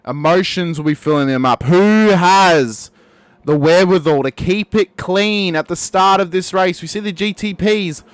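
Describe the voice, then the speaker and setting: Man calling a sim race, lively. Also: high-pitched, moderate pace, clear, resonant tone, no echo. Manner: lively, talks to the viewers, rises to shouts at big moments. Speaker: lead race commentator. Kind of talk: sim racing play-by-play commentary.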